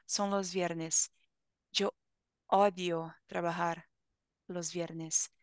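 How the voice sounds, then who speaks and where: Slow-speaking woman teacher on a video call. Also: lowish voice, level tone, smooth, dry recording, studio quality. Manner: slow, careful, encouraging teacher talk. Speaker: Spanish teacher. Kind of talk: online language lesson chat. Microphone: headset on video call.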